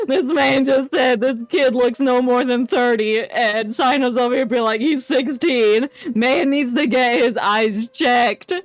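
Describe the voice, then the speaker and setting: Casting: playful female streamer, highish voice, casual mocking banter, close dry sound. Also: takes it slowly, dry recording, soft background music. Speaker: game streamer. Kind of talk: casual joking livestream commentary.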